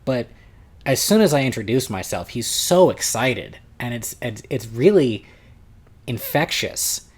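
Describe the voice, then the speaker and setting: A young man with a loud voice telling a long, rambling convention story at home, often restarting his sentences. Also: mid-range voice, animated, a little slow, husky. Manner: rambling, self-interrupting, chatty. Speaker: vlogger recounting a convention. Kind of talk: casual storytelling vlog.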